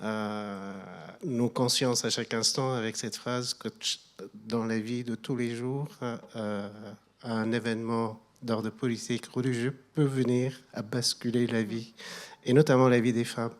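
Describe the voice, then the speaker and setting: A man's voice: mid-range voice, little pitch movement, unhurried. A middle-aged man from Afghanistan with an Afghan accent, speaking as a guest at a public event. He is earnest and hesitant and often repeats words as he searches for them. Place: hall at a public evening event.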